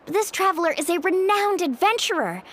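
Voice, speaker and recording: A woman's voice: extremely high pitch, lively intonation, normal speed, fairly bright tone. An actress playing a friendly, upbeat young woman in a video game, with a breezy, encouraging manner. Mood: eager, persuasive.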